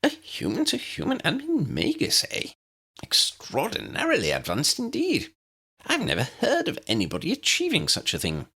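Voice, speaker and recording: A man's voice: sits high, huge pitch swings, moderate pace, vocal fry, sharp tone, slightly rough, pitched above the performer's own voice, exaggerated voice. A man voicing a small, courteous male professor in an audiobook, exclamatory and easily astonished. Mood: impressed. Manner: exclamatory, proper, swooping.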